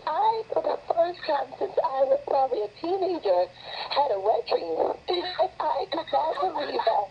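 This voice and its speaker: A woman with a high, hoarse voice calls in to a conference chat, sick and a little rough, sometimes stopping and repeating herself. The phone line is dull and muffled, with a faint hiss underneath. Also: expressive, unhurried. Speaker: caller. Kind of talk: casual chat on a conference call. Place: calling in from New York.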